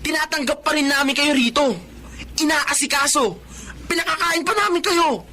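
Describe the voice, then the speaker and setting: A man's voice: extremely high pitch, normal speed, pushed and bright, full character voice. An actor playing a young man training to be a police officer who confronts his father, in a radio-style drama. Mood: bitter.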